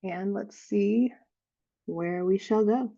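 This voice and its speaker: A woman leading an improvised guided meditation, speaking in gentle, unhurried phrases with frequent pauses. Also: mid-range voice, lo-fi sound.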